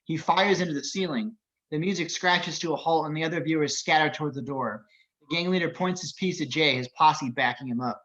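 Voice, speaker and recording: A man narrates scripted action descriptions at a table read. His voice is on the higher side for a man. The recording is dull and lo-fi. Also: a little fast, fairly bright tone.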